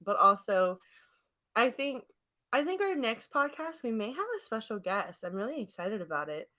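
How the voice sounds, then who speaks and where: A woman's voice: a little high, big pitch swings, moderate pace, sharp tone. A woman co-hosting a podcast in casual chat, effusive and giggly in her delivery. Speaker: podcast co-host. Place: remote internet call.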